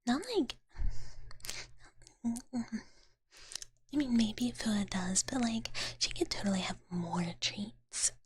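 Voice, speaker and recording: A woman voicing a sweet, ditzy dragon big sister for live ASMR, whispering softly and breathily up close in cutesy valley-girl talk. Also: fairly high pitch, drawn-out words, pauses often, slight background noise. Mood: soft, affectionate. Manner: cutesy valley-girl filler-laden talk in third person. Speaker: streamer. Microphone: close ASMR microphone.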